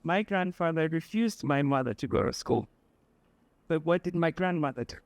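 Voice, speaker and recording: A woman from Sudan, giving an earnest, measured speech as a guest speaker, pausing often with fillers. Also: sits low, darkish tone, dry recording. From South Sudan. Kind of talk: public speech at an event.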